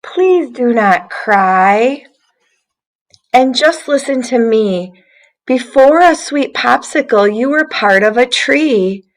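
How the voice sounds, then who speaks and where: A woman's voice: fairly high pitch, animated, slow pace, pitched above the performer's own voice, low-quality recording. A woman voicing a friendly wooden twig in a children's storybook, comforting and encouraging. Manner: reassuring, encouraging. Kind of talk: children's storybook read aloud.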